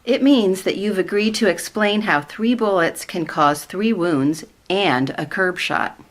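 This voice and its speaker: A woman's voice: mid-range voice, unhurried, natural voice. A woman playing a knowing, skeptical government colleague in a sitcom radio play, dry and insistent.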